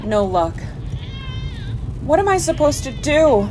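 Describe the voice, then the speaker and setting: A woman's voice: high-pitched, big pitch swings, takes it slowly, background noise, exaggerated voice. A woman playing a guilt-ridden, desperate young mother, narrating a first-person horror audio play in a breathless, pleading, dramatic way. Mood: anxious, desperate. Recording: music behind the voice.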